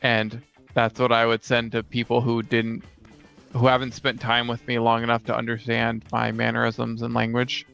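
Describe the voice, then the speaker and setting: A man with a smooth but creaky voice chats casually as a podcast co-host. His pitch stays fairly flat. The recording is a little dull, with music behind. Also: normal speed. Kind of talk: casual podcast chat about tabletop gaming.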